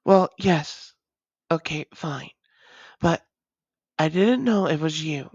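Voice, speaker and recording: Woman acting a low-voiced, unhurried, doting female vampire. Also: animated, darkish tone, breathy edge, dry recording, lo-fi sound, exaggerated voice. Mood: exasperated. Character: centuries-weary female vampire, doting, wry, long-lost lover. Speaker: audio roleplay voice actor. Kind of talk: intimate audio roleplay acting.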